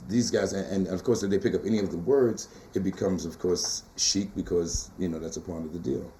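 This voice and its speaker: Middle-aged guy, deep mellow baritone, talks in rhythmic bursts, repeats himself for emphasis. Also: steady tone, brisk, a little hiss or hum. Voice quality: tenor-baritone voice. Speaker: poet and teacher being interviewed. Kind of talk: casual conversational interview.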